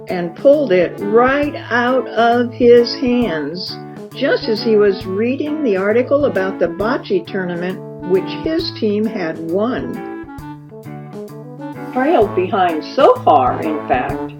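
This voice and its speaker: A woman reading a children's story aloud as an expressive narrator, stretching words for emphasis. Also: medium pitch. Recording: dull lo-fi recording with music behind. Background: music.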